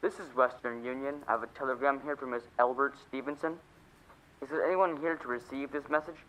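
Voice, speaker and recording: A man's voice: medium pitch, steady tone, fairly quick, a bit bright, slight background noise, natural voice, low-quality recording. A man playing a businesslike, impassive telegram clerk reciting formally in a radio play.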